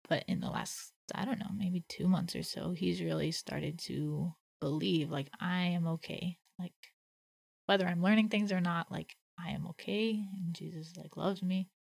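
A woman's voice: on the low side, normal speed, gravelly, a little mellow, slightly rough. A woman co-hosting a casual faith conversation, reflective and thinking aloud with many "like" fillers. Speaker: podcast co-host.

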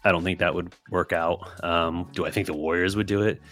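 Deep-voiced man with a scratchy voice. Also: steady tone, fairly quick, fairly bright tone, soft background music. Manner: thinking aloud, with restarts and fillers. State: voice getting scratchy and raspy. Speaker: podcast host. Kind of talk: solo sports podcast with listener questions.

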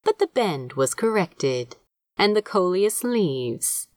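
A woman reading a story aloud as an audiobook narrator, measured and expressive in her scripted delivery. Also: lowish voice, dry recording, studio quality. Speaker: fan fiction audiobook narrator.

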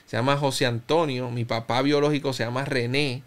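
Man, 30s, Cuban Spanish accent, smooth voice, halting reflective testimony. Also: medium pitch, steady tone. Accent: Cuban Spanish, softened by Puerto Rico. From Cuba. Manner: reflective, halting, many restarts and fillers. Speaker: interview guest. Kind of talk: personal testimony in conversation.

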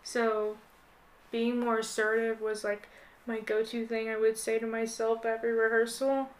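Young female guest, high-pitched with little melodic movement, loose conversational phrasing. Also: flat delivery, normal speed, slight background noise, rough recording. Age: young adult. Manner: informal, frequent "like". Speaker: podcast guest. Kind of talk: casual chat.

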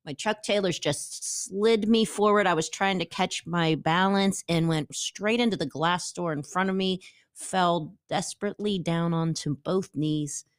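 A woman's voice: on the low side, measured pace. A woman in her twenties with a nasal voice, a youth pastor co-hosting a podcast and telling self-mocking stories. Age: 20s. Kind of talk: casual chat.

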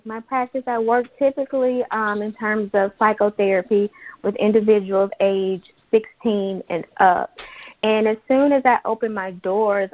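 An American woman from Mississippi giving explanatory interview answers as a therapist, in articulate, measured professional phrasing. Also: mid-range voice, no echo, lo-fi sound. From Mississippi, United States. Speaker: psychotherapist, interview guest.

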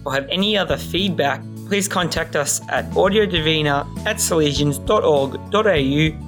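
A man's voice: on the high side, moderate pace. A man delivering scripted narration as the presenter of a devotional podcast. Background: plucked guitar music.

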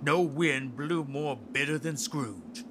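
A man's voice: a little high, takes it slowly, noisy background. An actor playing a wry, downtrodden working-class man in a radio drama, with a dramatic storytelling manner. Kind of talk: radio drama narration and acting.

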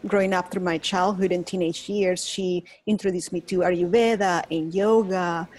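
A woman's voice: fairly low pitch, steady tone, moderate pace, a little mellow. A woman from Venezuela with a Venezuelan accent, Spanish her first language, talking earnestly as a podcast guest about her path to teaching yoga. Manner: earnest, reflective, with restarts and laughs. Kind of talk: casual interview.

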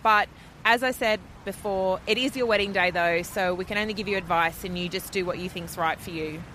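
A woman's voice: medium pitch, brisk, bright, forceful tone, a little hiss or hum, rough recording. A woman, a makeup artist, chatting casually as a podcast guest in loose, trailing sentences. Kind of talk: casual chat.

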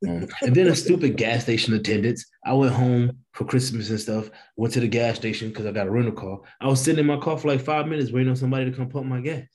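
A man's voice: mid-range voice, quick pace, hardly any pauses, darkish tone, poor audio. A man in his thirties from Illinois, a podcast guest chatting in an easygoing, laid-back way with casual slang. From East Saint Louis, Illinois. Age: 30s.

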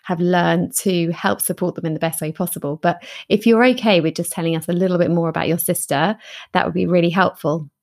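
A woman's voice: fairly low pitch, steady tone, brisk. A woman hosting a podcast interview, asking encouraging questions and teasing her guest in a friendly way. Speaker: podcast host.

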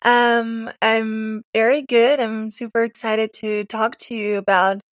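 A woman's voice: a little high, little pitch movement, unhurried, no room sound. A young woman from Serbia, a native Serbian speaker, chatting as a podcast guest, hesitant and often laughing. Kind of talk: casual interview chat.

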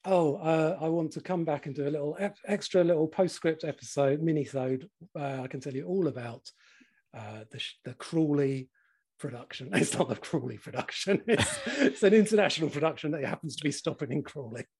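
A man, his voice slightly husky and croaky. He sits a little high in pitch and talks loosely in a casual podcast chat, laughing often and swearing freely. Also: a little fast, darkish tone. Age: 40s. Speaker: podcast co-host.

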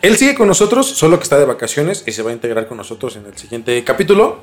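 A man's voice: medium pitch, wildly swooping pitch, fast talker. A man hosting a mystery podcast in a conversational presenting style. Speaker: podcast host.

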